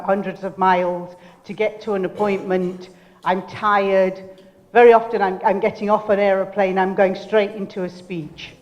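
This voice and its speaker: An older woman giving a motivational keynote speech, loud and commanding, directing the audience. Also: medium pitch. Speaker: keynote speaker. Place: lecture hall. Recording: lecture hall reverb.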